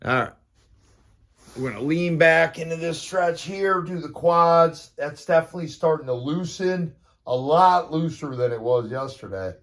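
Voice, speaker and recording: A man's voice: on the high side, animated, normal speed. A man in his fifties giving casual motivational fitness talk, speaking in an informal, blunt and encouraging way with slangy turns of phrase. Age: 50s. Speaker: fitness vlogger. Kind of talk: casual motivational talk to camera during a morning workout.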